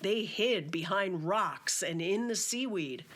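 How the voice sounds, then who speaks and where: A woman reading a children's story aloud as its author, in a simple, measured narrating style. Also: medium pitch, lively intonation, fairly bright tone.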